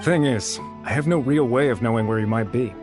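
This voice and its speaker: A medium-pitched man's voice from an actor as a disgruntled game researcher. He speaks bluntly and guardedly, like someone with firm principles. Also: brisk, over music, close to an everyday voice. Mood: cooperative. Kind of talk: video game character dialogue.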